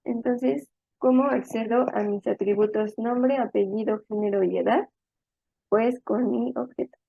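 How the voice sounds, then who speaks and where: A woman with a fairly high voice and a creaky edge teaches step by step, talking through each move informally as she works. The sound is narrow and phone-like, with very little room around it. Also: fairly even intonation, a little slow, dry recording. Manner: informal explanatory, thinking aloud. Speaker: programming instructor. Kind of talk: step-by-step tutorial narration while typing.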